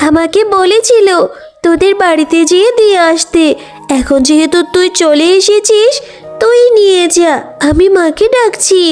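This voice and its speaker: A woman's voice: extremely high pitch, darkish tone, pitched above the performer's own voice. A woman voicing a chirpy, talkative little tigress girl in a cartoon, childlike, over music.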